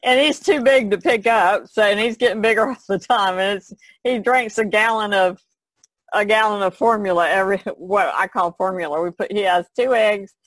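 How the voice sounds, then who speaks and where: A woman's voice: mid-range voice, moderate pace, dry recording, rough recording. A woman co-hosting a podcast in casual chat, with a rambling, easygoing way of talking. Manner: rambling, easygoing, giggles often. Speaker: podcast co-host.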